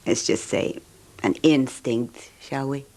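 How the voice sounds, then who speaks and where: Languid, scheming unfaithful wife. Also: female voice, very deep voice, steady tone, drawn-out words, dark tone, full character voice. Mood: intimate, weary. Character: scheming unfaithful wife, intimate and world-weary. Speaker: radio drama actress. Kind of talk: 1970s radio drama acting.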